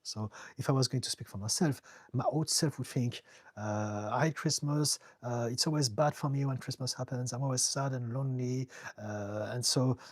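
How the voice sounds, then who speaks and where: A middle-aged man from France with a French accent, calmly reading viewer questions and answering them as a therapist on a live stream. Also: medium pitch, normal speed, no echo, clean studio sound. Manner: calm, reassuring, reads out viewer comments with light laughs. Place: home in the UK.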